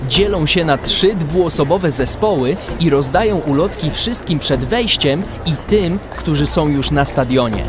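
A man's voice: fairly high pitch, expressive, a little slow, few pauses, music in the background. A man narrating a children's adventure in a scripted audio drama. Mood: storytelling. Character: storyteller of a kids' adventure.

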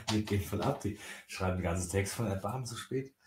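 A man in his thirties from Lower Franconia, joking with his wife on a livestream and reading viewer comments aloud. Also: brisk. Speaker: co-host reading live chat. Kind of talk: joking live-stream banter. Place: home craft room, indoors. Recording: roomy echo, faint noise.

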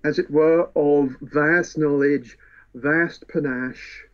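A man's voice: a little high, fairly even intonation, slow. A man in a casual book discussion, telling the story with wry relish. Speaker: podcast co-host. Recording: dull, muffled lo-fi audio.

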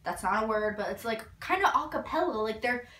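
Gushing teen girl, bright and roomy. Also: fairly high pitch, expressive, fairly quick. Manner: gushing, filler-heavy with frequent "like". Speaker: vlogger. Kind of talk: casual album review. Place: bedroom, indoors. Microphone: fixed camera a few feet away. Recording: roomy, slightly distant.

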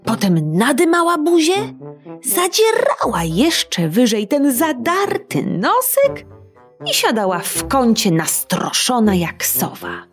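Brief: woman narrating a kids' fairy tale, leisurely and very melodic, soft music under the voice. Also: sits high, slow pace. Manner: expressive, sing-song storytelling. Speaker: storyteller. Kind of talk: children's audiobook narration.

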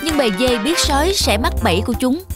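High-voiced woman storyteller over music. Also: animated, fast. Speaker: storyteller, narrator. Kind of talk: scripted children's fairy-tale narration.

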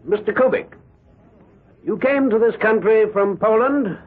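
Courtroom lawyer in old radio play, high voice, formal, deliberate, muffled sound. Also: male voice, breathy edge. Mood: formal, probing. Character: formal, probing male defence counsel in court. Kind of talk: 1970s radio drama acting. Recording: muffled lo-fi 1970s radio recording.

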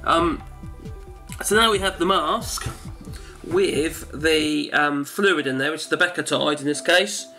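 A wheezy man in his forties talks through a casual how-to demonstration from a cluttered home study. He rambles and corrects himself as he goes, in a slightly high voice. Music plays clearly behind him. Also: a little slow, pushed and bright. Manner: rambling, chatty, self-correcting. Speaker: home video maker.

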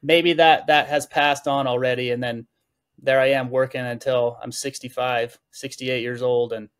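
A man's voice: medium pitch, little pitch movement, normal speed, clean tone. Candid, plainspoken man in his thirties. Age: 30s. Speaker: podcast guest. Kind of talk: casual conversational interview talk.

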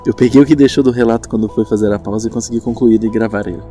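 Man as anxious gentle survivor, stammering, low mellow voice, audio drama. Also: fairly even intonation, rapid-fire, faint music behind, natural voice. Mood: reassuring. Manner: hesitant, stammering. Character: nervous, traumatized young man Gabriel, gentle loyal friend.

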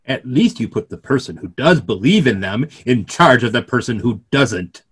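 A middle-aged man playing an earnest, gently ironic clergyman in a play reading, wordy and rhetorical. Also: mid-range voice, animated, normal speed, husky. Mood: ironic, arguing. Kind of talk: remote script reading of a stage play. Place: small room at home, video call.

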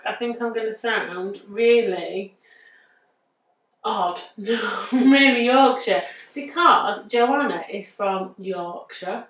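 A woman's voice: mid-range voice, fairly bright tone. A woman in her thirties with a northern English accent, talking through a nail art design step by step in a chatty, easygoing way as she thinks aloud. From England. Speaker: nail artist demonstrating a design. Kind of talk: casual step-by-step tutorial talk. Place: bright indoor studio. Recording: roomy, distant mic.

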